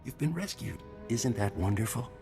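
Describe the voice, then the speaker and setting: An actor playing a smug, amoral scientist villain in a video game, speaking with unctuous, mocking politeness. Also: male voice, mid-range voice, animated, normal speed, music in the background, lightly acted. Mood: smugly pleased. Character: smug amoral male surgeon-scientist, a glib collaborator villain. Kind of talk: scripted video game cutscene acting.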